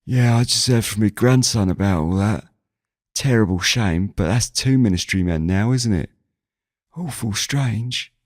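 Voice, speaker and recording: A man's voice: on the low side, a little slow, a little mellow, no room sound, studio quality, voice pushed up. A man voicing a chatty, nosy old village grandfather in an audio drama, folksy and grumbling in manner. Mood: chatty, concerned. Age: older. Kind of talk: audio drama character dialogue.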